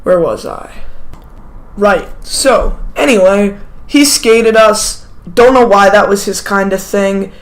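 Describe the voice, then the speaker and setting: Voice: medium pitch, slow. A sick teenager sniffles through a casual storytime monologue. The talk wanders, with asides, self-corrections and offhand remarks. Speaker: vlogger telling a personal story.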